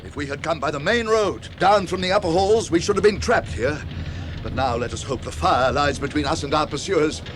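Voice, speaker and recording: An older actor playing a weary but commanding old wizard in a radio drama, grand, theatrical and authoritative. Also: male voice, brisk, background noise, natural voice. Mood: urgent, guiding. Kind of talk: 1980s radio drama acting. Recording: radio drama with loud rumbling effects.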